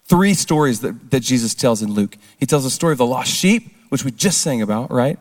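A hoarse man speaks to a gathered church crowd in a relaxed, conversational way, often checking in with the audience. His voice sits at an ordinary middle pitch, and it rings out with the long reverb of a big hall. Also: a little fast. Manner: casual, engages the crowd with questions. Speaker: speaker at a recovery ministry service. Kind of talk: conversational testimony talk.

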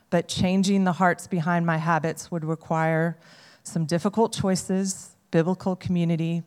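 A woman reading a prepared personal testimony to a group, in an earnest, measured way. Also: lowish voice. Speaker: speaker sharing a testimony. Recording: long hall reverb.

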